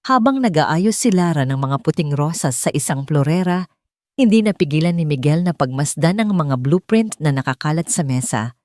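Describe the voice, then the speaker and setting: Female storyteller, lower-pitched voice, dry close sound, scripted romantic drama narration. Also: lively intonation, moderate pace, darkish tone, dry recording.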